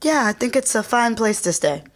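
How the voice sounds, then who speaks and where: A woman's voice: on the high side, sing-song, normal speed, noisy background. An actress playing a dry, sarcastic young woman in a podcast sitcom taped before a live audience. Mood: distracted. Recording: live audience laughter behind. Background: studio audience.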